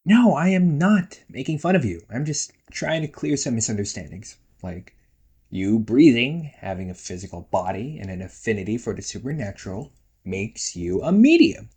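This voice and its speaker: A man's voice: mid-range voice, big pitch swings, normal speed, a little mellow, slightly rough. A man playing a playful, nagging young ghost brother in a casual roleplay audio drama, teasing and chatty. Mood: bantering. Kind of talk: casual one-sided roleplay audio acting.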